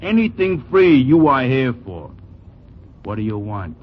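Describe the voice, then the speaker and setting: A man's voice: mid-range voice, big pitch swings, unhurried, breathy edge. An actor playing a grudging, cynical New York club doorman, dismissive and slangy, in a 1940s radio comedy. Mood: grudging, scornful. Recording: dull, noisy 1940s radio recording.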